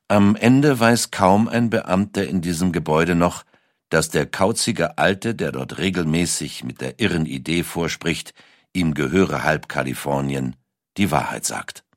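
A man narrating a scripted historical documentary in a measured, deliberate storytelling manner with dramatic pauses. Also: low-pitched, no room sound, studio quality.

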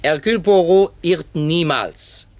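A vain, courteous detective in a 1950s radio play. His voice is fairly high and swoops with very lively intonation, fussy and utterly sure of himself. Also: measured pace, no room sound. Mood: self-assured. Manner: self-assured, courteous, fussy.

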